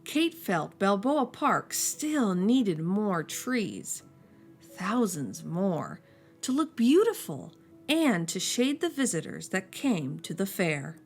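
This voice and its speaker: A woman reading a children's picture book aloud as a storyteller, slowing down and dropping soft and low on each refrain. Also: big pitch swings, soft background music.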